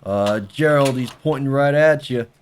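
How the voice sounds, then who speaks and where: An actor playing a menacing, foul-mouthed union enforcer in an audio drama. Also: male voice, mid-range voice, a little slow, a little hiss or hum, close to an everyday voice. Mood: wary.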